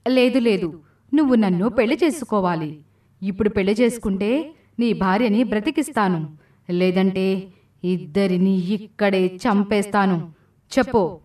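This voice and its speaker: A woman's voice: medium pitch, unhurried, pauses often, a little mellow, far from the mic, lightly acted. A cursed demon woman from a magical storybook tale, acted by a woman, heard slightly away from the microphone. She speaks in a commanding, theatrical way, as one used to ruling a village by fear and burdened by a curse. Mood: menacing, demanding. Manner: commanding, threatening delivery. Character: cursed watermelon demoness demanding marriage, menacing then remorseful. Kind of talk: dramatic storybook voice acting.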